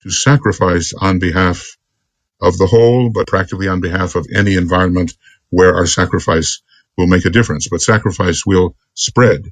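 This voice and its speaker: Man, low voice, esoteric teacher, halting earnest lecture delivery, close dry sound. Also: fairly even intonation, dry recording. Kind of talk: extemporaneous spiritual lecture with commentary on a text.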